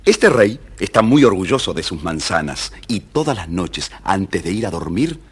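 A man with a husky voice narrating a children's fairy tale dramatically, as a scripted storyteller. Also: low voice, very animated, moderate pace.

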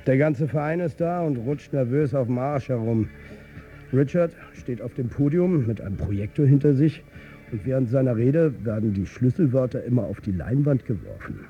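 A man's voice: medium pitch, animated, normal speed, faint music behind, natural voice. Narrow phone-like wry football manager played by a man. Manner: colloquial, wry, confiding. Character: wry, beleaguered, football-mad male club manager. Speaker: actor in a radio play. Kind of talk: radio drama narration and dialogue.

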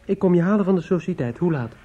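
An actor playing a troubled young grieving son, terse, hesitant and insistent, in a radio drama. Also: male voice, sits high, animated, fast talker, dark tone. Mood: urgent, insistent. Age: young adult. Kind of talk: Dutch radio drama acting. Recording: dull, noisy old radio drama.

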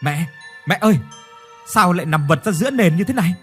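A man voicing a worried young son who speaks softly to his mother. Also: sits high, measured pace, soft background music, pitched above the performer's own voice. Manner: soft, concerned.

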